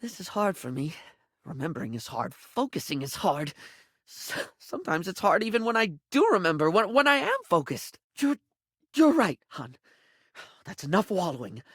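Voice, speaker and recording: A man's voice: sits high, big pitch swings, normal speed, fairly bright tone, slightly rough, no echo, clean studio sound, exaggerated voice. A man voicing a flamboyant, scatterbrained, affectionate beauty-product character in a video game, with a theatrical, gushing and rambling delivery that stammers at times. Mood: self-doubting.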